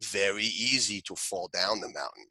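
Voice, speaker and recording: Man doing a stern older Korean grandmaster, put-on accent, short clipped lines, bright tone. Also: lowish voice, normal speed, husky, no echo, full character voice, poor audio. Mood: warning. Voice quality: clipped, broken phrasing. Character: older male Korean martial arts grandmaster, terse.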